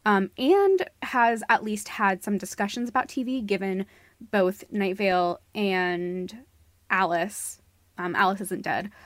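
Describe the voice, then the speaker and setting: A woman's voice: medium pitch, slow pace, no room sound. A woman co-hosting a podcast in a casual, informal chat, effusive and given to giggling. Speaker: podcast co-host. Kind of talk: casual discussion of books and theatre.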